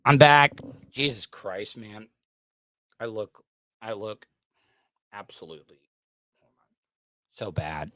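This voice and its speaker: A man's voice: lowish voice, animated, slow, long pauses, sharp tone. Rambling man hosting a casual podcast. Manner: rambling, restarts and fillers, joking. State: sweating, coming down with a sinus infection. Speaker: podcast host. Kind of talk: casual livestream chat.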